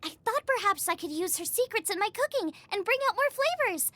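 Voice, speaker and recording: A woman voicing a bubbly, eager, food-obsessed young woman chef in an expressive video game style, chatty and full of exclamations. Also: extremely high pitch, a little fast, dry recording, heavily acted. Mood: chatty, admiring. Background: music.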